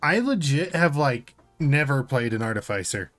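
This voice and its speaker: A man's voice: mid-range voice, very animated, normal speed, a bit bright, soft background music. A man co-hosting a casual tabletop gaming discussion, informally reading out notes and commenting on them. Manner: informal, reads notes aloud then comments. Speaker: podcast co-host.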